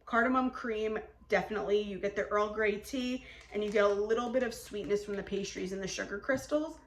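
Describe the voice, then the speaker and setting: Woman, casual haul vlogger, talky and descriptive, mid pitch, roomy echo. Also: brisk, a little hiss or hum. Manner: chatty, describing scents at length with many likes. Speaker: haul vlogger reviewing scented wax. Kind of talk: casual chat.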